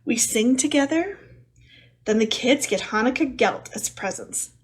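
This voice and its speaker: A woman's voice: a little high, animated, measured pace, low-quality recording. A woman hosting a children's storytime, reading a picture book aloud with simple, chatty asides to the young listeners. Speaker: storytime host.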